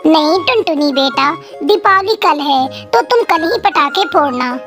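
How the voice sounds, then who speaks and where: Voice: sits high, expressive, measured pace, voice pushed down. A woman voicing a poor, anxious mother crow in a children's cartoon, scolding and protective. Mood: gentle. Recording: music behind.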